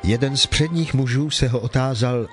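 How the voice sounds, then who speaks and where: A man reading scripted narration for a dramatized scripture production. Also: mid-range voice. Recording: faint music behind the voice.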